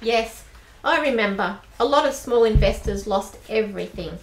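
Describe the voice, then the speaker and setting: A woman's voice: mid-range voice, animated, moderate pace, slight background noise, close to an everyday voice. A woman playing a bold female accomplice. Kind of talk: amateur radio play acting. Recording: roomy amateur recording.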